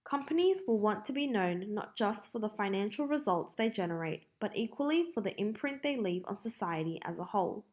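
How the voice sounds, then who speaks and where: A woman with an Australian accent reads scripted practice passages aloud in a measured, careful way. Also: mid-range voice, expressive, darkish tone. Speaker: reader of English test practice passages.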